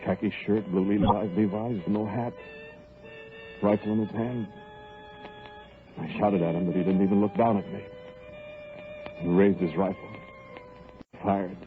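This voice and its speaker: An actor as a gruff, deep-voiced robber on the run. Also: male voice, steady tone, a little slow, pauses often, faint music behind, close to an everyday voice. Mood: grim narration. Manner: gruff, clipped, tough-guy delivery. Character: hard-bitten male robber on the run, narrating. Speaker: radio actor and narrator. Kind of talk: 1940s radio drama narration. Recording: tinny lo-fi 1940s radio broadcast with faint hiss.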